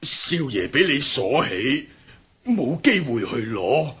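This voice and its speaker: A nervous, stammering servant with a Hong Kong Cantonese accent. He speaks slowly and haltingly, his pitch jumping about. The delivery is that of a radio play. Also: big pitch swings, fairly bright tone, slightly rough, heavily acted. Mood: fearful. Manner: stammering, timid. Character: frightened male servant caught in a scheme. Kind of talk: radio drama acting.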